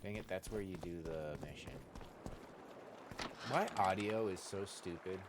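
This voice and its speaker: A man's voice: sits low, measured pace, noisy background. A man rambling casually as he livestreams a game, informal and given to mild, clean exclamations. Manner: informal, mild euphemisms for swearing.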